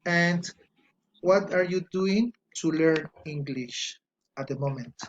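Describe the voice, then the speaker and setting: Ecuadorian man teaching English slowly. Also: on the high side, lo-fi sound, a little hiss or hum. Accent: Ecuadorian Spanish. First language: Spanish. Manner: slow, patient, corrects spelling and grammar aloud. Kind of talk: online class, reading student answers.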